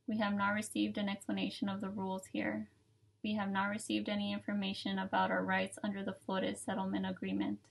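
Flat-voiced woman reading testimony aloud. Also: medium pitch, creaky, rough recording. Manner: steady, measured reading from paper. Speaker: reader of a legal declaration. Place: indoor room with dark curtain, seated at table.

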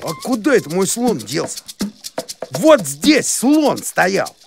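Creaky, wildly swooping cartoon dog. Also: male voice, sits high, wildly swooping pitch, slow pace, music in the background, heavily acted. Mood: indignant. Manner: colloquial, plain-spoken, folksy. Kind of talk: animated series voice acting.

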